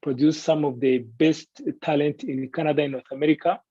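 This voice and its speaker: A man giving a semi-prepared guest presentation in a deliberate, polite way. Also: a little high, fairly even intonation, warm tone, slightly rough, no echo. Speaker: guest presenter pitching a city. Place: online video call. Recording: dull, lo-fi online call audio.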